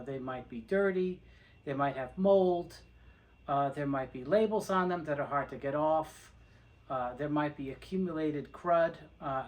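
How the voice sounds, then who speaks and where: A man presenting an informal how-to video, explaining things step by step in a methodical, plain-spoken way. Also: on the high side. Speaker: presenter of a how-to video on restoring tape reels. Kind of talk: informal instructional demonstration.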